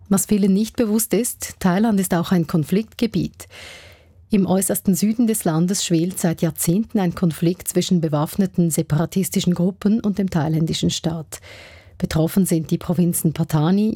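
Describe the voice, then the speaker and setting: A woman's voice: on the low side, steady tone, normal speed, mellow, slightly rough, dry recording, studio quality. A woman presenting a news programme, reading scripted introductions.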